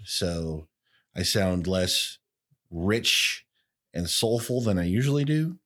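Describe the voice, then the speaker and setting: A man's voice: deep voice, lively intonation, slow, fairly bright tone. A man with a cold, sounding stuffed up, hosting a trivia podcast in a jokey, hammy way. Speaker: podcast host. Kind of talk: playful casual chat.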